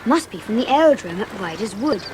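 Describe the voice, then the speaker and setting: A woman's voice: mid-range voice, lively intonation, a little fast, lightly acted. A woman playing a reluctant but curious schoolboy in a dramatised audiobook, with old-fashioned, questioning speech. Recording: clear background ambience noise.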